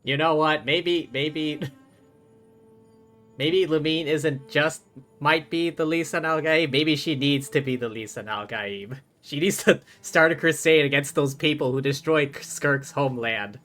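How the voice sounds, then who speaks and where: A man's voice: a little high, unhurried, fairly bright tone, faint music behind. A man livestreaming a game with casual commentary, chatty and thinking aloud. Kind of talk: casual live stream commentary.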